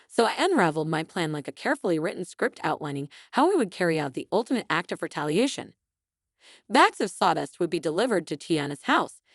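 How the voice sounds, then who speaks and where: A woman reading a scripted first-person story in a dramatic, expressive, theatrical way. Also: lowish voice, no room sound, clean studio sound. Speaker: story narrator.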